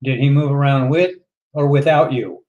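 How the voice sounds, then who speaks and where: A man's voice: medium pitch, fairly even intonation, normal speed, darkish tone, lo-fi sound. Sarcastic exasperated man commenting. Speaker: reaction commentator.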